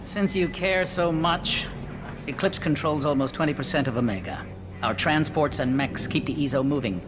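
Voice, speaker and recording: An actor playing a cool, arrogant, vengeful alien mercenary boss in a video game, clipped and superior in manner. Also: male voice, on the high side, moderate pace, natural voice. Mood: dry, arrogant. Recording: noisy game ambience behind.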